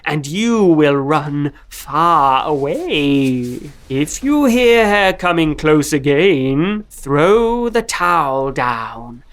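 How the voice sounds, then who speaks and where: A woman voicing a helpful female cat in a children's fairy tale, speaking in deliberate, measured phrases. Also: low voice, sing-song, exaggerated voice. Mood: instructing. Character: female cat, helpful, long-neglected servant of a witch.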